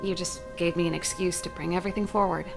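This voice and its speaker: A woman's voice: on the low side, steady tone, quick pace, vocal fry, over music. A woman voice-acting a playful, candid and theatrical ex-soldier in a video game. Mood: teasing. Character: spirited, teasing woman ex-soldier with a showy streak.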